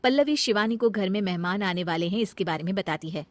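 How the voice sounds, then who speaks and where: A woman's voice: medium pitch, expressive, quick pace, dry recording. A woman storyteller reading scripted narration of a comic family story.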